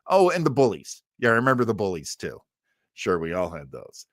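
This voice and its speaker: A man's voice: on the low side, huge pitch swings, a little fast, no room sound. A man in his fifties chats casually as a livestream host, enthusiastic and a bit rambling. His mid-range voice swings animatedly up and down, recorded close and dry. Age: 50s.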